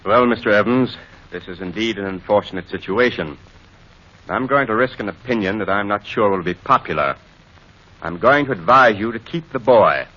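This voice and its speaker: An actor playing a grave, kindly judge in an old-time radio courtroom drama. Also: male voice, lowish voice, lively intonation, moderate pace, bright, forceful tone. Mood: solemn, kindly. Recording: dull old radio recording.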